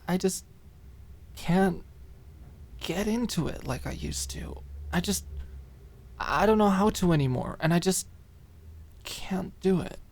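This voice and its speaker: Woman acting a flustered, halting young woman. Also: fairly low pitch, creaky, voice pushed up, exaggerated voice. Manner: halting, stammering, hesitant. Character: flustered, anxious young woman hiding her feelings. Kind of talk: dramatized audiobook character acting.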